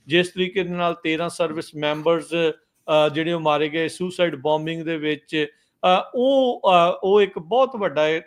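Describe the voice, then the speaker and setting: A man hosting radio news, giving conversational commentary in a halting way and mixing in English words. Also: fairly high pitch.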